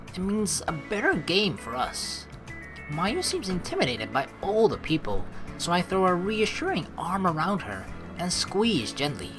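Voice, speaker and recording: A woman hosting a let's play, chatting informally with rambling asides and reading the game's story text aloud. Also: deep voice. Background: game music.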